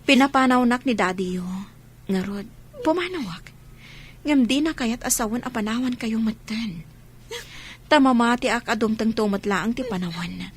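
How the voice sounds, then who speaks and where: A woman playing a heartbroken, gentle young mother in an Ilocano audio drama, speaking in a sorrowful, pleading way. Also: on the high side, normal speed, pauses often, a little hiss or hum, close to an everyday voice. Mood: tearful, consoling. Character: heartbroken, gentle young mother leaving her marital home.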